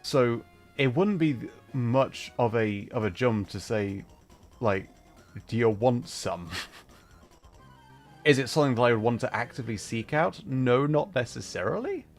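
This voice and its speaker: A man's voice: mid-range voice, lively intonation, a little slow, soft background music. A man hosting a casual livestream, rambling thoughtfully as he plays and answers chat, with an easy tendency to chuckle. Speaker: livestreamer and host.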